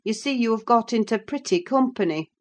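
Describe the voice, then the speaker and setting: A woman's voice: a little high, expressive, brisk, pitched above the performer's own voice, lightly acted. A woman voicing a sensible nursemaid in an audiobook, placating and mildly chiding. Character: sensible, soothing nursemaid to a young lady. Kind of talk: audiobook character voice.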